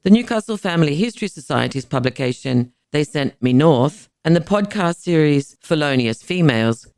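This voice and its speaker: A woman narrates a scripted history podcast. Her delivery is measured and formal, with carefully placed phrases. There is a noticeable vocal fry, and the sound is dry, free of room reflections. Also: low voice, expressive, darkish tone, no echo.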